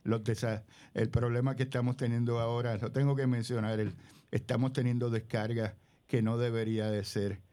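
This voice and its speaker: A man's voice: medium pitch, level tone, moderate pace, mellow. An older man, a community leader speaking as a radio guest in a rambling way, full of hesitations.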